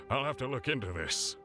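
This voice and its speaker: A man's voice: medium pitch, lightly acted. A man playing a grumpy, snobbish townsman in a video game. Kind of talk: game voice acting. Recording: music behind the voice.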